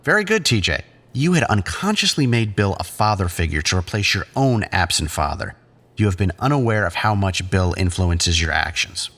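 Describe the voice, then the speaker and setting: A controlling male psychiatrist acted by a man runs the group in an audio drama. His voice sits low and moves with animated intonation. He is patronising and clinical, choosing his words with measured care. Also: heavily acted. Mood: firm, disappointed.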